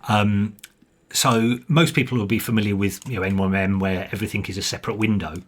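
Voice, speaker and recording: Low, slightly husky man explaining software. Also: steady tone, brisk. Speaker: software developer giving a demonstration. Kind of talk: informal software walkthrough.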